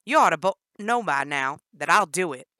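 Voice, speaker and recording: A loud, brash female captor with a Southern twang and an explosive temper, put on by a woman reading a storybook. The voice is low and bright-edged, recorded dry and close. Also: sing-song, moderate pace, no room sound. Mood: threatening. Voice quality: brash and shouting. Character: wiry volatile female kidnapper, explosive temper.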